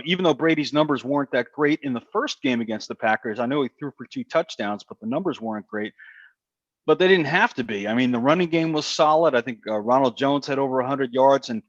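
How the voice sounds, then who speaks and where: A man's voice: medium pitch, no room sound, lo-fi sound. A man, a stadium announcer, talking football as a guest, teasing and hesitant with many restarts. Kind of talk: casual sports talk.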